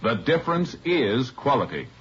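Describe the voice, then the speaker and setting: A man's voice: mid-range voice, sing-song, moderate pace, a little hiss or hum. An American man announcing in a scripted, declamatory 1950s radio style. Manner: declamatory, emphatic. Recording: muffled old radio recording, loud music.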